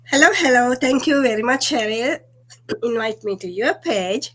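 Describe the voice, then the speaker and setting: A woman's voice: fairly high pitch, expressive, moderate pace. A woman from Sri Lanka, a guest on a livestream interview, explaining earnestly with some fillers.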